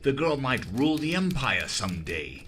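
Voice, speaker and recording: A man's voice: mid-range voice, sing-song, normal speed, a bit bright, recorded from a distance, slight background noise, voice pushed up. A man voicing a stern, disapproving old spymaster reciting his memoir in a formal, disdainful manner for an audiobook. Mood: stern disapproval.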